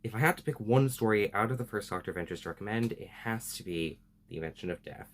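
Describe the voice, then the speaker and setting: Lower-pitched man presenting an explainer vlog. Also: expressive, quick pace. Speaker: video host. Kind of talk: enthusiastic explainer vlog, semi-scripted.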